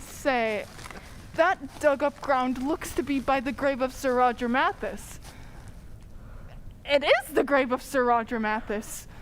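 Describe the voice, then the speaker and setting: A woman acts out a wary villager in an old-time radio mystery. The voice sits high, in stagey radio style, over faint background noise. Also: takes it slowly. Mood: wary, puzzled. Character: wary small-town New England villager, Sam.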